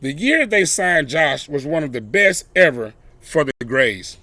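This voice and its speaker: A man reading a children's story aloud expressively, with deliberate, emphatic phrasing and dramatic pauses. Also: on the high side, big pitch swings, sharp tone, no echo. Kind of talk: expressive read-aloud storytelling with brief asides.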